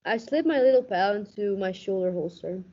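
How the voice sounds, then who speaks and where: A young woman playing a cynical, hardboiled police lieutenant with deadpan, tough-talking noir narration in a radio play parody. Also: mid-range voice, moderate pace, natural voice. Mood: brooding, deadpan. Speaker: student radio play actor. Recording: dull, muffled lo-fi recording.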